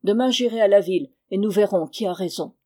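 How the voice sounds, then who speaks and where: A woman's voice: medium pitch, a little fast, mellow, close to an everyday voice. A woman voicing a wronged, hot-tempered, stubborn peasant husband in a dramatic audiobook reading, with a harsh, insistent manner. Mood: exasperated.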